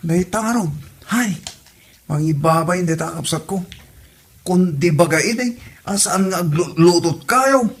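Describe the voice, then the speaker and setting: High-voiced grumbling Ilocano husband acted by a man. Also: animated, a little slow, long pauses. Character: exasperated husband grumbling about his spendthrift sibling. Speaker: actor in a comedy drama. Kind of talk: Ilocano comedy radio drama acting.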